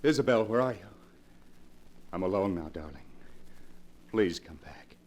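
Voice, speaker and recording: An actor playing a lovesick, tormented husband under a spell, theatrical and volatile in a 1940s radio horror show. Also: male voice, low-pitched, expressive, unhurried. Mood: lovesick pleading. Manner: theatrical, swinging from hushed to frantic. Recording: noisy vintage 1940s radio recording.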